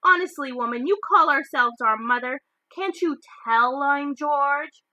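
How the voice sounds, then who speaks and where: A woman's voice: high-pitched, measured pace, sharp tone, muffled recording, pitched above the performer's own voice. A woman voicing cheeky teenage twin boys with mock indignation in a storybook reading. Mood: cheeky, mock-indignant.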